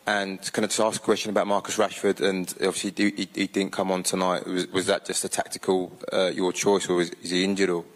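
A man's voice: low voice, little pitch movement, fast, few pauses. A male journalist asking a stammering question from the floor at a press conference. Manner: stammering, repeats words.

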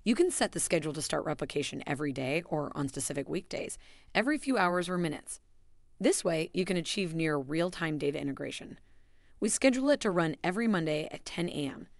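A woman giving a scripted, even voice-over that walks through software steps. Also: low-pitched, a little fast, dry recording, studio quality. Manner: even, instructional delivery. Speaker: software tutorial narrator.

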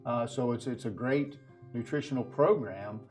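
A middle-aged man speaks as an interview guest in an ordinary mid-range voice. He explains earnestly, building long sentences with halting phrasing. Faint guitar music plays behind him. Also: medium pitch, a little mellow. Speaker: professional farrier, interview guest. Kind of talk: explanatory interview talk about horse nutrition products. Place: indoor video set at a display table.

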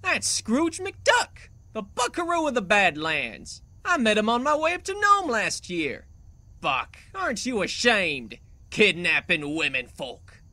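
A performer voicing an excitable male Western lawman in a cartoon comic dub, exclamatory and melodramatic. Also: mid-range voice, measured pace, no echo, exaggerated voice. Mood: indignant.

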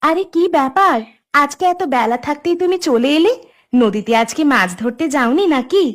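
A woman's voice: sits high, expressive, normal speed. A woman voicing a caring, sensible village housewife in a cartoon, with a soothing, advising manner. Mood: surprised, curious. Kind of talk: Bengali cartoon voice acting.

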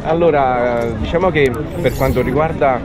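A man's voice: medium pitch, moderate pace. Chatty man interviewing outdoors. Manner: chatty, encouraging, laughs often. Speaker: interviewer and channel host. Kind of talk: on-the-spot interview at an outdoor event. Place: sunny beach at a crowded outdoor fishing event. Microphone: handheld mic, close. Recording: loud crowd chatter behind the voice.

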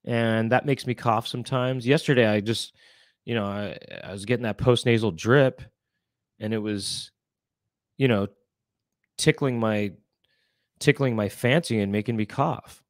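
A man's voice: medium pitch, a little slow, pauses often, no echo. A man in his thirties co-hosting a casual chat show from a small room at home, congested and rambling playfully into a studio mic. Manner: rambling, playful comic riffing. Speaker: co-host. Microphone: studio mic with headphones.

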